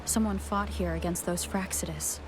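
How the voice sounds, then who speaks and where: A woman's voice: lowish voice, steady tone, normal speed, music in the background, lightly acted. A woman playing an earnest, plain-spoken young wanderer in a video game. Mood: concerned.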